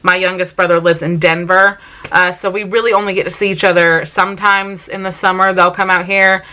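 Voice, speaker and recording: A woman's voice: lowish voice, level tone, a little fast, pushed and bright. A woman in her thirties with a Southern US accent from South Carolina, chatting casually to camera in a home Q&A vlog, full of "um" and "like" fillers. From South Carolina, raised in Vermont. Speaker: mom vlogger.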